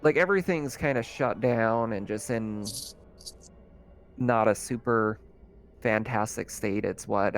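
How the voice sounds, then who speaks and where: A man narrating a tabletop roleplaying game as game master, in a casual, conversational, improvised way. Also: medium pitch. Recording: music under the voice.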